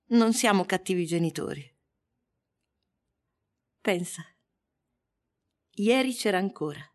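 A grieving mother in a radio drama. She speaks very slowly at a medium pitch, halting and intimate, tender and dazed as she pleads. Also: darkish tone.

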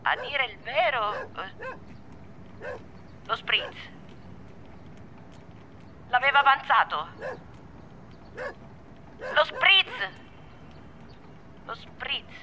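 An actress playing a rattled, defensive woman on a phone call in a radio drama, talking in a halting, repetitive, evasive way. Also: high-pitched, sing-song, pushed and bright, slight background noise, full character voice. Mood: defensive, hesitant. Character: rattled, defensive woman gossiping about someone close.

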